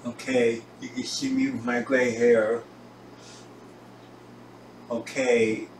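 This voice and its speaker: A man talks casually and haltingly, as if showing someone around while he films. His pace is slow and his phrases come in small pieces. The sound is roomy and distant from the mic, with a faint layer of noise. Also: mid-range voice, flat delivery. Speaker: home recording hobbyist presenting own gear. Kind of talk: casual gear tour, narrated while filming. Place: home recording room, indoors. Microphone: camera on tripod, some distance away. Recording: roomy echo, distant mic, hissy background.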